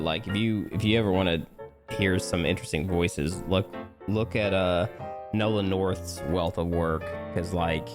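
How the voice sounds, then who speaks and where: A man co-hosting a gaming podcast, explaining things in a laid-back, conversational way. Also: sits low. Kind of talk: casual chat during a game let's play. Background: music playing behind the voice.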